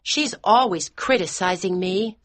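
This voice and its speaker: A woman's voice: mid-range voice, unhurried, fairly bright tone, dry recording. A woman playing a cheeky, blunt little American boy in a dramatized audiobook, with an American accent. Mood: blunt, insistent. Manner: blunt, childishly insistent.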